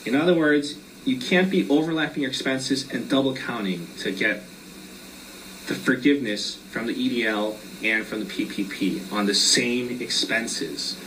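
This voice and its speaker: A man's voice: medium pitch, moderate pace, fairly bright tone, recorded from a distance, a little hiss or hum, low-quality recording. A man who is losing his voice, explaining loan and tax forms step by step in a casual explainer video.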